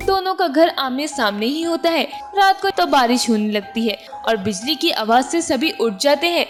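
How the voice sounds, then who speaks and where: A woman's voice: high-pitched, lively intonation, normal speed. A woman reading scripted narration for a children's moral story. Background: music.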